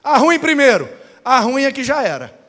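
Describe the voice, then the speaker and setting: A man's voice: very high voice, sing-song, moderate pace, pushed and bright, exaggerated voice. A man acting out an unbothered woman prophet who speaks bluntly and colloquially, matter-of-fact about doom. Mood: blunt, ominous. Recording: long hall reverb.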